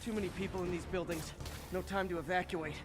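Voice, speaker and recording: An actor playing a young male superhero who talks in quick, self-assured quips, heard as game audio with music behind. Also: sits high, steady tone, close to an everyday voice. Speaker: game character. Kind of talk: video game voice acting.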